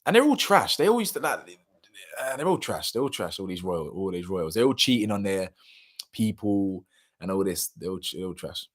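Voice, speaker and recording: A young man, chatting casually on a live stream and reading out viewer comments, slangy and animated, his voice a little hoarse. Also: sits low, normal speed. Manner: slangy, animated, laughs often, drifts between loud bursts and mumbles. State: slightly hoarse.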